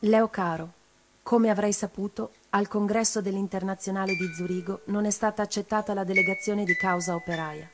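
An actress playing a composed, introspective woman revolutionary reciting her letters in a radio play, measured and intimate. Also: medium pitch, steady tone, close to an everyday voice. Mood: fond, wry. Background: mallet music.

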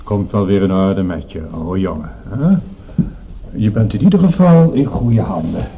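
An actor playing a patronising, falsely jovial accomplice in a radio drama. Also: male voice, sits low, animated, moderate pace, narrow, tinny audio, a little hiss or hum.

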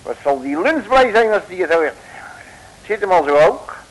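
An actor from the Netherlands playing an excitable, grumpy, bumbling detective who scolds and curses colourfully in a vintage Dutch comic radio serial. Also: male voice, high-pitched, moderate pace, background noise. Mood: impatient, blustering. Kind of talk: 1950s-60s comic radio serial acting. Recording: vintage mono radio recording.

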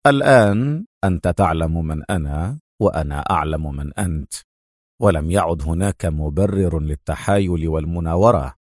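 Man playing a low, cold, sardonic secret agent. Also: mellow, dry recording, studio quality. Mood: cold, mocking. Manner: sardonic, menacing, unhurried. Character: cold, mocking, stern male Egyptian secret agent.

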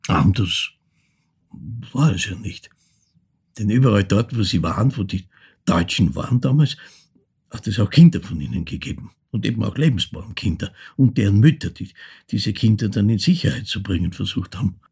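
Casting: a reflective older Austrian man, very husky, halting delivery, for a dramatic monologue. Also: lowish voice, expressive, heavily acted. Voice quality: gravelly, husky. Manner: halting, deliberate, hushed, broken phrasing. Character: reflective ageing man recounting his wartime childhood. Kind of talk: literary monologue, dramatic storytelling.

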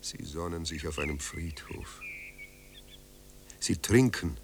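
An actor playing a dead writer in a radio play, wry, restless and formally courteous. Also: male voice, extremely low pitch, slow, a little hiss or hum, exaggerated voice. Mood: wry, aggrieved. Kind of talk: radio play acting, monologue and dialogue.

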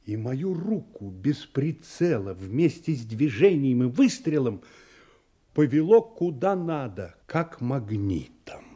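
An actor playing an agitated, guilt-ridden man giving a dramatic confession in an audio play, with a husky voice and a halting, then rushing delivery. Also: medium pitch, big pitch swings, dark tone, exaggerated voice. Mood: agitated, confessing.

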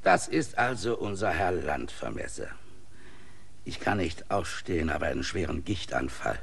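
An actor playing an older, bedridden village mayor laid up with gout, courteous but rambling and evasive in a radio play. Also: male voice, low-pitched, big pitch swings, normal speed, vocal fry, a bit bright, husky, exaggerated voice.

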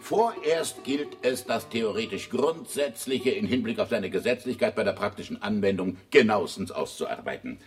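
An actor playing a pompous, self-important and excitable bank director in an absurdist radio play, speaking emphatically and repeating himself. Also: male voice, on the low side, normal speed, few pauses, soft background music, close to an everyday voice. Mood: grandly lecturing. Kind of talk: 1960s German absurdist radio play acting.

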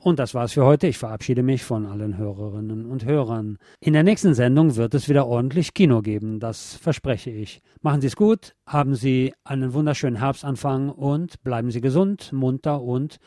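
Mellow-voiced man reading radio news. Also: mid-range voice, moderate pace, hardly any pauses, no echo, clean studio sound. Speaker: radio presenter.

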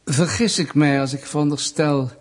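An actor playing a smug, menacing Soviet state interrogator in a radio drama, mock-polite, needling and deliberate. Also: male voice, on the high side, natural voice. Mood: triumphant.

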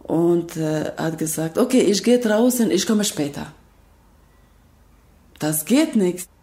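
A woman in her fifties from Kosovo, a native Albanian speaker, recounting her past in slow, halting, broken German. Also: on the low side, expressive, dark tone. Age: 50s. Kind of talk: interview, personal recollection.

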